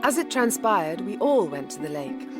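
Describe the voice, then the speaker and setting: Woman acting a sharp-witted Victorian lady, mid-pitched, measured and wry, period drama, faint music underneath. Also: big pitch swings, close to an everyday voice. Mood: narrating. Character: shrewd, resolute young Victorian gentlewoman. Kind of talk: period audio drama narration and dialogue.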